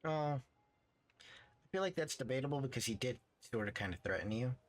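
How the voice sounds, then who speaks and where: A man's voice: mid-range voice, animated, normal speed. A young man giving casual, slangy live commentary as he streams a video game. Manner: laid-back, slangy. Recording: game music behind the voice.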